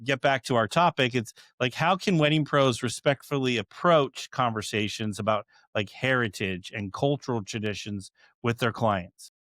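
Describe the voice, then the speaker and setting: A man's voice: medium pitch, normal speed, hardly any pauses, fairly bright tone, no echo, studio quality. A middle-aged man hosting a podcast, rambling with stammers and fillers. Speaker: podcast host. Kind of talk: casual interview chat.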